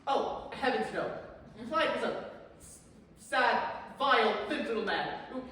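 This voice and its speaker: A woman's voice: a little high, expressive, measured pace, a bit bright, distant mic. An actress playing a haughty, theatrical woman with an arch, dramatic delivery in a live puppet play. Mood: disdainful. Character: haughty, theatrical woman relishing scandal and disdain. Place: old gym, live performance. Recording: echoey hall from afar, noisy.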